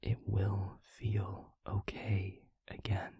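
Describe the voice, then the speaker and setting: Man acting as haunted vampire boyfriend, low husky whisper, slow halting pauses, earnest, intimate audio roleplay. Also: monotone, no echo, heavily acted. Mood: tender, reassuring. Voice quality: breathy, husky near-whisper. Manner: halting, hushed, earnest delivery with heavy pauses. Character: tender, haunted male vampire boyfriend confessing past despair.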